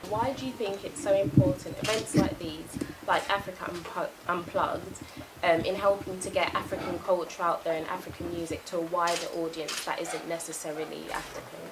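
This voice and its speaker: A woman's voice: lowish voice, steady tone, fairly quick, heavy background noise. A woman reporter putting questions to a panel at a press conference. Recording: distant, echoey, loud music behind.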